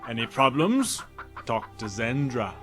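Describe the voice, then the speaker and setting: A man playing a sardonic, coolly menacing former high priest in an audio drama, with ominous, theatrical phrasing. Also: big pitch swings, measured pace, music in the background. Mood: cool, official.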